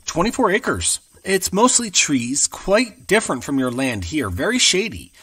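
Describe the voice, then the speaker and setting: A man's voice: fairly high pitch, very animated, unhurried, pushed and bright, distant mic, heavily acted. An actor playing a nervous young male land agent who talks in a hesitant, stammering way. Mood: polite. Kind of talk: audio drama acting.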